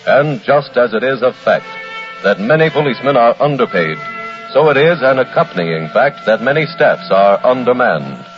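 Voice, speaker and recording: A man's voice: mid-range voice, animated, normal speed, soft background music. An American man delivering formal, earnest 1940s radio narration in a grave manner. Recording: muffled old radio broadcast.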